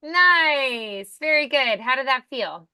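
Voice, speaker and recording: A woman's voice: sits high, expressive, takes it slowly, pushed and bright, smooth, no echo, studio quality. A woman working as a voice acting coach, giving casual, encouraging direction.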